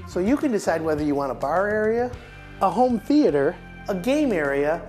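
A man's voice: big pitch swings, normal speed, a little mellow, soft background music. Upbeat man demoing home products. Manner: upbeat, pitching and pointing at samples. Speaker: home improvement expert guest. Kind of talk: product demo on a TV talk show. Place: TV studio set.

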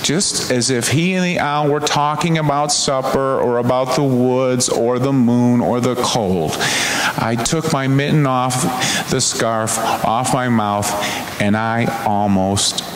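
A man reads a picture book aloud at a very unhurried pace, stretching words for expression. His mid-pitched voice rings around a large, echoey church space, with clear noise behind him. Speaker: minister reading to congregation. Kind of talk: storybook read-aloud. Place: large church sanctuary before an audience.

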